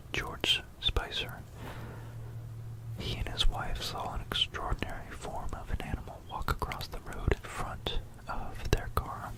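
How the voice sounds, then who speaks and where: A person narrating history in a breathy ASMR whisper, close to a binaural microphone, in a hesitant, meandering way that often repeats phrases. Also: poor audio.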